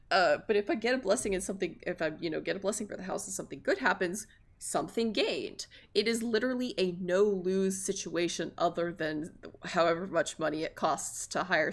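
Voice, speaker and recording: A woman livestreaming a visual novel, chatting and reacting aloud to the characters in an informal, expressive way. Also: medium pitch. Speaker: streamer playing a visual novel.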